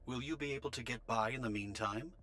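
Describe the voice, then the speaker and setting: A man's voice: medium pitch, fast talker, pushed and bright, lightly acted. A man playing a businesslike military operator in a game, delivering a formal official briefing. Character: businesslike male military operator giving orders. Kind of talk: anime game voice acting.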